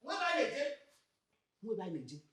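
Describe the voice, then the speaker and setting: A middle-aged Nigerian woman playing an outspoken mother in a film drama, expressive and full of exclamations. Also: sits high, normal speed, a little hiss or hum. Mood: outraged. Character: outspoken mother meddling in her child's marriage.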